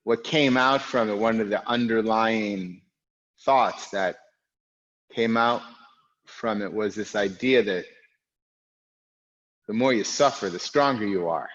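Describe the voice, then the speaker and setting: A man from the United States with a lowish, strong voice leads a yoga class. He talks calmly and reflectively, with pauses between phrases. The sound is dull and lo-fi. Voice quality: loud, strong voice. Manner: calm, reflective, speaks in unhurried phrases with pauses. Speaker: yoga teacher. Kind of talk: guiding an online yoga class. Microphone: recorded for an online class video.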